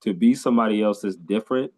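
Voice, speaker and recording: A man's voice: fairly low pitch, fast, darkish tone. A man from California hosting a podcast interview, talking in an enthusiastic way with lots of fillers and repeats. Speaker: podcast host. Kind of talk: casual interview chat.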